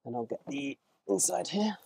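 A man in a casual vlog, talking through his car wheel cleaning outdoors in a relaxed, rambling way, with small self-corrections, his voice moving closer and farther from the camera. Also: a little high, normal speed, vocal fry, a little hiss or hum. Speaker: car rental host. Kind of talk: casual vlog narration while working.

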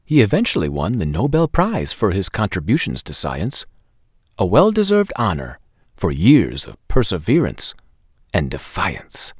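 Animated man reading to children. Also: low voice, husky, no room sound, studio quality. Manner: deliberate, animated, sing-song delivery. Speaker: children's storytime host. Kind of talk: expressive read-aloud narration for children. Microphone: lapel microphone.

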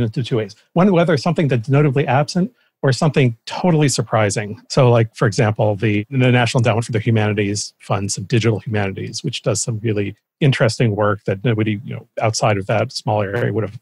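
A man hosts a casual interview in a conversational way. His medium-pitched voice is gravelly with plenty of fry, and it is recorded close and dry. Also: a little mellow, no echo. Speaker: podcast host, interviewer.

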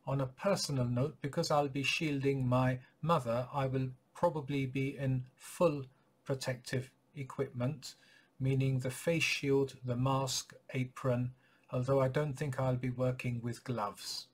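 A man in his forties speaks to camera as a clinic practitioner updating patients. His voice is mid-pitched and somewhat husky. He explains things in a measured, courteous way, recorded in a small indoor office. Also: level tone. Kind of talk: informational announcement to camera.

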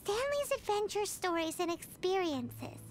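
A woman voicing a tiny, childlike, kindly fairy companion in a video game, with a squeaky voice. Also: sing-song, unhurried, heavily acted. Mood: consoling. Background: game music.

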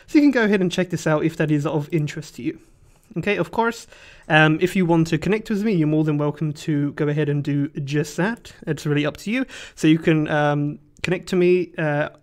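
A man hosting his channel, giving a relaxed, casual update over a screen recording, talking with many fillers and restarts. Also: on the high side. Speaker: channel host.

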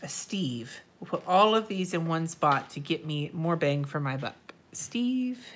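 A woman's voice: sits low, lively intonation, measured pace, slight background noise. A woman with a stuffy, nasal voice, chatting casually and muttering to herself while decorating a planner on a livestream, answering viewers and swearing now and then. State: congested, nasal from a stuffy nose. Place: indoors at a desk.

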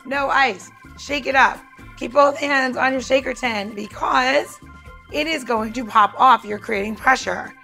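A woman with a fairly high voice and plenty of vocal fry demonstrates cocktails on a podcast. She is chatty and casual, guiding the listener step by step, with a little music in the background. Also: a little slow, bright, forceful tone. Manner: chatty, talks the listener through each step. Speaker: podcast co-host and bartender.

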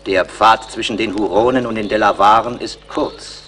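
A proud, vengeful chief acted by a man declaims formally in a low, insinuating voice. He is a sly radio play villain, recorded with faint noise behind him. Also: fairly even intonation, moderate pace, a bit bright, close to an everyday voice. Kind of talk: German radio play acting.

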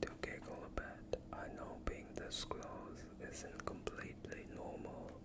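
Slow whispering man as a gentle vampire doctor. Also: lightly acted. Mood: soothing, reassuring. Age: young adult. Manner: slow, hushed, soothing, short phrases. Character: gentle, reassuring vampire doctor examining a patient. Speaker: ASMR streamer. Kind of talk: whispered ASMR medical roleplay. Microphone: close microphone.

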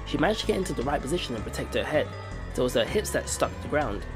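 Lively man reading game narration. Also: medium pitch, very fast. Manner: reads with small stumbles and restarts. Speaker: let's play narrator. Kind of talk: reading game text aloud. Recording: game music playing behind.

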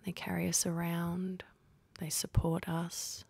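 A woman leading a guided meditation in a soft, husky, breathy voice, speaking calmly and gently with unhurried pauses. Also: on the low side. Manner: calm, gentle, unhurried instructing with long pauses.

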